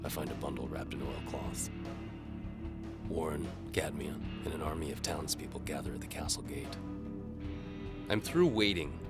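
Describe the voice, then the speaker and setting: A man's voice: a bass voice, completely flat delivery, moderate pace, vocal fry. A man narrating a fantasy audio drama in the first person, as a dramatic, hard-boiled storyteller. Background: music.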